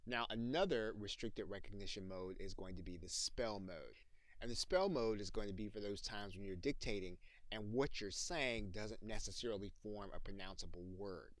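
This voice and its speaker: Low-voiced man narrating a software tutorial. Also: moderate pace, no echo. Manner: methodical, explanatory. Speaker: software training instructor. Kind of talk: instructional screencast narration with dictation demos.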